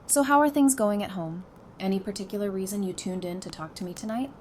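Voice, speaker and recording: A woman playing a sassy, sarcastic, quick-witted wife with dry, teasing delivery in an audio drama. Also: mid-range voice, lightly acted. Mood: playful. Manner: dry, teasing, matter-of-fact. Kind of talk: fan audio drama acting. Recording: clearly noisy background.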